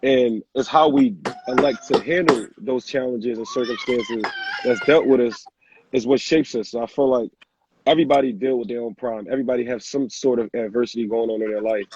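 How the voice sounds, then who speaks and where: A young man from Senegal, a guest entrepreneur, talking in a relaxed, plain-spoken way on a live phone video stream. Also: mid-range voice, fairly quick, noisy background. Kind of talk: casual live-stream interview. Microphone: phone camera on a live video stream. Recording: dull lo-fi phone audio.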